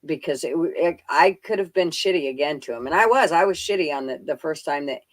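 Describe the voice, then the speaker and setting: A woman's voice: low-pitched, lively intonation, a little fast, no room sound. A middle-aged woman in casual live stream chat, rambling and swearing freely in a blunt, folksy way.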